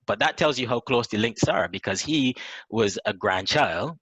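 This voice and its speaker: A man with a Barbadian accent, hosting a video chat and telling stories in a measured way. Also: fairly low pitch, animated, fairly bright tone, slightly rough, no echo, low-quality recording. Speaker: host.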